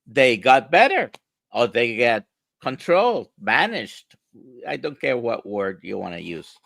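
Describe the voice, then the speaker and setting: Gravelly-voiced Puerto Rican-born doctor explaining. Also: male voice, mid-range voice, big pitch swings, unhurried, a bit bright, no room sound. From Puerto Rico. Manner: emphatic, explains with rhetorical questions. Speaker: doctor, interview guest. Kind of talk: conversational explanation.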